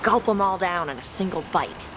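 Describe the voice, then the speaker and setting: A woman's voice: lowish voice, animated, moderate pace, noisy background, lightly acted. An actress playing a tough dragon hunter woman in a video game, speaking in a terse, matter-of-fact way. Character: blunt, tough Qunari dragon hunter woman from a seafaring land. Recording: game sound effects over voice.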